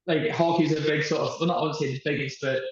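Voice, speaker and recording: A man's voice: fairly high pitch, fairly even intonation, fast talker. A young man from Scotland with a Scottish accent, chatting casually and amiably as a guest. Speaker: podcast guest, traveller. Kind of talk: casual chat. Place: echoey indoor room at a hostel. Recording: very echoey, muffled lo-fi sound.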